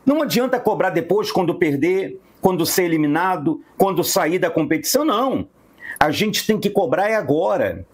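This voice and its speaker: Man, Brazilian accent, very animated intonation, husky rasp, indignant fan commentary, repetitive emphasis. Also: high voice, normal speed. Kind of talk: fan rant to camera.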